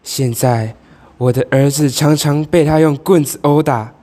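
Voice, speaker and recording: A man's voice: on the high side, fairly even intonation, a little slow, dark tone. A teenage male student playing a commanding, grieving ghost of a noble wife in an amateur radio drama, speaking formally. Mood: sorrowful.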